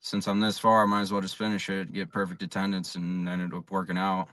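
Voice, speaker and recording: Young American male athlete, unassuming interview answers, very low pitch, dry close recording. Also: completely flat delivery, fairly quick, fairly bright tone, dry recording. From South Dakota, USA. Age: young adult. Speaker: college baseball pitcher, interview guest.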